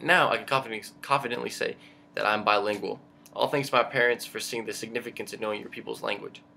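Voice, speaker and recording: Gravelly young man, Greek speaker. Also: on the low side, steady tone, quick pace, sharp tone, slight background noise. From Pennsylvania, United States. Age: young adult, about 19. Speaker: college student. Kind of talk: prepared self-introduction presentation to camera.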